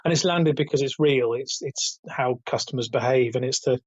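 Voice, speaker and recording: A man in his fifties with a raspy, somewhat high voice after illness, talking hesitantly with many restarts as an interview guest. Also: normal speed, dry recording. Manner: hesitant, many restarts, chuckles between points. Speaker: guest, retail author and company founder. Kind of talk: casual interview over a call. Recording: dull, dry remote call audio.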